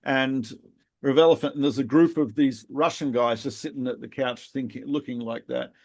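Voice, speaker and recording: A middle-aged man who grew up in Australia, co-hosting a podcast and telling lively anecdotes in a casual conversation. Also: medium pitch, moderate pace. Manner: animated storyteller, laughs at his own anecdotes. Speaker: podcast co-host, rabbi.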